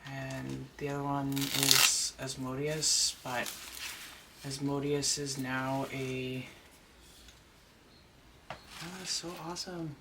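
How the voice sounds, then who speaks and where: A deep-voiced person chatting casually while unboxing a doll at home, rambling and gushing with excitement. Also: takes it slowly, long pauses. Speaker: doll collector and vlogger. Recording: noisy home recording.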